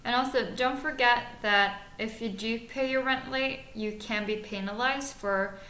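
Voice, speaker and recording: A woman in her twenties from Norway, co-hosting a podcast and giving practical advice in a measured way with frequent fillers. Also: fairly high pitch, pushed and bright. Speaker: podcast co-host. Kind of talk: scripted podcast chat. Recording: echoey room, faint noise.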